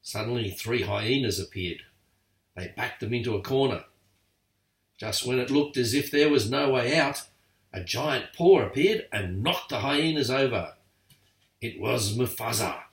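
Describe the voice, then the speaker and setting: An older man reading a bedtime story aloud to grandchildren, expressive and lively. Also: mid-range voice, measured pace. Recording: echoey room, far from mic.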